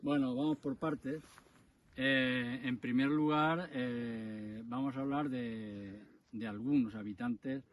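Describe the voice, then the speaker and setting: A man's voice: medium pitch, slow pace. A man from Moratalla, a guest in an outdoor interview, talking in a matter-of-fact way. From Moratalla, Spain. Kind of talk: relaxed interview talk. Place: outdoors in the countryside. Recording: distant mic, noisy outdoors.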